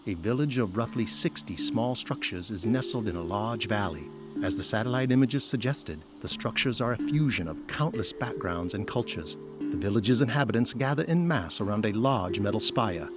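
Low-pitched male drama narrator. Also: animated, moderate pace. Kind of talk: scripted audio drama narration. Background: prominent music.

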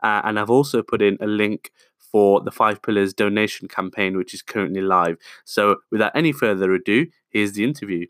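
A man with a fairly low voice opens a podcast episode, upbeat and smooth as he reads through his introduction. The sound is dry and close, with no room around it. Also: fairly quick, a bit bright, no echo. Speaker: podcast host.

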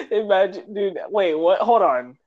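A man's voice: high voice, darkish tone, slight background noise. Male podcast co-host, casual and giggly, repeats words, muffled lo-fi sound. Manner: laughs often, repeats words when starting sentences. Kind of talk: casual chat. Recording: dull lo-fi audio.

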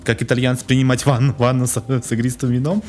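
A man's voice: mid-range voice, flat delivery, brisk, a little mellow, soft background music. A young man co-hosting a casual news podcast, joking around and stumbling a little as he reads items out. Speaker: podcast co-host. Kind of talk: casual chat about news, reading items aloud.

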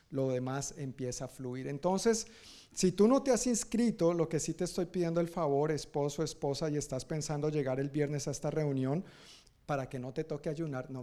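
A man's voice: fairly high pitch, mellow. A man speaking as a pastor giving informal, jokey church announcements to a congregation, often correcting himself.

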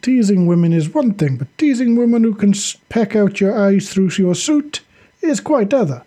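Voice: medium pitch, expressive, moderate pace, dark tone, voice pushed up, lightly acted. A narrator as a sardonic trooper. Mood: wry. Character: sardonic Russian male soldier, dry humor.

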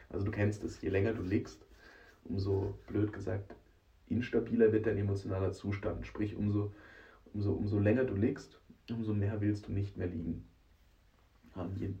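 A man's voice: fairly low pitch, warm tone, far from the mic. Male podcast host with a cold, scratchy and nasal, chatty to the listener, recorded a bit off-mic and lo-fi. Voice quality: rough, scratchy voice. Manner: chatty, addresses listener directly, frequent "ne?". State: hoarse and congested from a cold. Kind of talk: casual solo podcast monologue.

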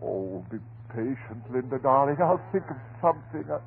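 Actor as a frantic husband, wildly swinging voice. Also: male voice, medium pitch, lively intonation, close to an everyday voice. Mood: coaxing. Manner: melodramatic, pleading, halting. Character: frantic, devoted, unhinged husband guarding his transformed beloved. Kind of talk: vintage horror radio drama acting. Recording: muffled, noisy old radio recording.

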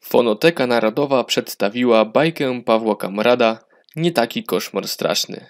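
A man's voice: medium pitch, moderate pace, faint music behind. A man narrating a scripted children's fairy tale as a storyteller.

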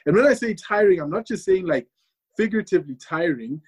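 A man speaking earnestly in a panel discussion, husky and emphatic, repeating words for stress. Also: high voice, expressive, brisk, a little mellow.

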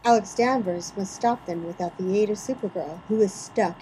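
A woman's voice: medium pitch, moderate pace, mellow, slight background noise, low-quality recording. Theatrical woman podcast host. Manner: theatrical, mock-dramatic reading. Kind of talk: podcast segment hosting and mock-dramatic recaps.

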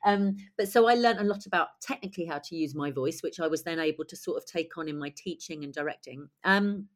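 A woman in her forties with a husky voice and a cold, talking in a lively, chatty way as an interview guest. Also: low voice, brisk. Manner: chatty, lively, laughs often. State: has a cold. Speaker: guest writer and director. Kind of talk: conversational interview.

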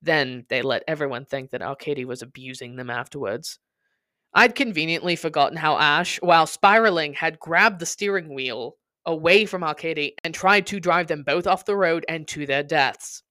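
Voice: mid-range voice, normal speed, bright, forceful tone, dry recording, studio quality. A podcast host reading a scripted personal narrative aloud with dramatic, emphatic delivery.

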